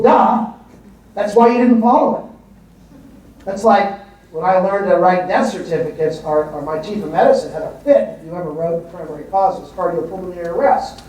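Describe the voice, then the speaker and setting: High-voiced man lecturing in an echoey hall. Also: darkish tone, distant mic, slight background noise. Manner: emphatic and conversational, repeats words for stress. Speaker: physician presenter. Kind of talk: conference lecture. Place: large hall before an audience.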